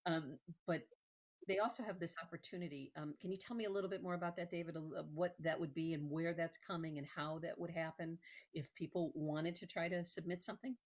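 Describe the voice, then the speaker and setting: Effusive woman host with a low voice. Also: fairly even intonation, fairly quick. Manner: effusive, hesitant, rambling. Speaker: show host. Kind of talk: conversational interview hosting.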